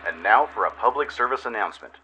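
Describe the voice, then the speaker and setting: A man's voice: on the low side, expressive, quick pace, lo-fi sound, noisy background. A man narrating a scripted first-person adventure story dramatically, in the manner of a radio play host.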